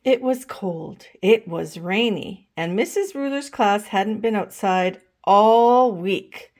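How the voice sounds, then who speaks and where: A woman reading a children's picture book aloud as a storytime host, expressive and pausing to address young listeners. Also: mid-range voice. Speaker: storytime reader.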